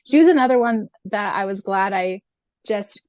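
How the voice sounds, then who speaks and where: A woman in her thirties chatting casually as a podcast co-host, talkative and quick to giggle. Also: medium pitch, expressive, a little mellow, no room sound, clean studio sound. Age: 30s. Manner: chatty, giggly, acts out quotes. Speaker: podcast co-host and audiobook reader. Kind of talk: casual fan podcast chat.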